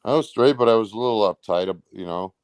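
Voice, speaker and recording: A man's voice: lowish voice, fast talker, a little mellow, smooth, no echo. A man answering questions in a casual interview, offhand and somewhat defensive, often repeating himself. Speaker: interview guest, truck driver.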